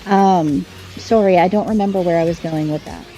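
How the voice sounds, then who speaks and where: A woman's voice: fairly low pitch, moderate pace, mellow, faint music behind, poor audio. Earnest woman explaining at length. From Canada. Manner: earnest, explanatory, with frequent restarts. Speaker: author guest. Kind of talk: casual conversation on a live stream.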